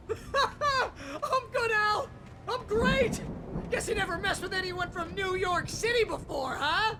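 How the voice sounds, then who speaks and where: A male actor playing an excitable, loyal, wisecracking New Yorker sidekick in a video game, with animated, exasperated bluster. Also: very high voice, slow pace, heavy background noise. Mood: loud bravado. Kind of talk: comic-relief video game dialogue.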